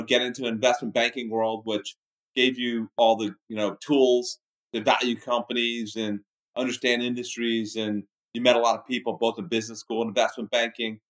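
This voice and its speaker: A man in his fifties from the Bahamas, a business executive guest answering interview questions in a halting way with many restarts. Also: mid-range voice, fairly bright tone, low-quality recording.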